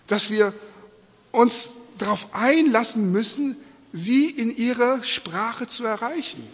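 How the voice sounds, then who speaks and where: A man's voice: sits high, a bit bright, slightly rough. A man whose native language is Spanish, preaching informally and conversationally in German, engaged and full of rhetorical questions and check-ins. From Australia-born, mother from Peru, living in Germany. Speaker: preacher addressing a congregation. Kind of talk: informal, conversational sermon in simple words. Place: large echoing indoor hall, speaking to an audience. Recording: reverberant hall.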